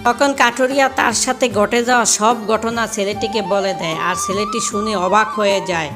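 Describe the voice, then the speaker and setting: A woman narrating a cartoon folk tale in a plain, steady storytelling manner. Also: medium pitch, moderate pace. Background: background music.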